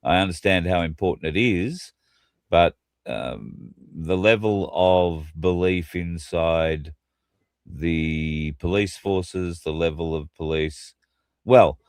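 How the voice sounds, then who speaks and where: A man's voice: low-pitched, slow, no echo, clean studio sound. An Australian man co-hosting a casual live show, talking bluntly with some swearing and reading out viewer comments. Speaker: co-host. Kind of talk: casual chat.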